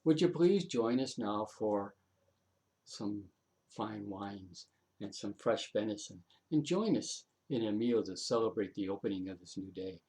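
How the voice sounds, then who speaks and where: A man's voice: lowish voice, lively intonation, a little slow, darkish tone, voice pushed down. Man playing a courtly young fairy prince. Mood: courteous. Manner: courtly, gracious.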